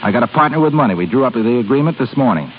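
A man's voice: mid-range voice, expressive, very fast, lightly acted. A man acting a cocky, defiant businessman who challenges his boss in a 1930s radio drama, speaking brashly. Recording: scratchy, echoey narrow-band 1930s radio recording.

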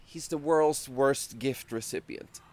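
A man's voice: medium pitch, slow pace, a little mellow. A man in his thirties from Sweden, hosting a podcast in casual chat. He laughs often while telling rambling anecdotes. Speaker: podcast host.

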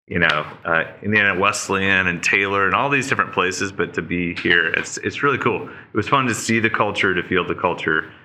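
A man in his fifties from Oklahoma, a guest on a podcast, telling his story in animated, casual chat. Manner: animated storyteller, jumps to high-pitched mock voices. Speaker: podcast guest, leadership speaker.

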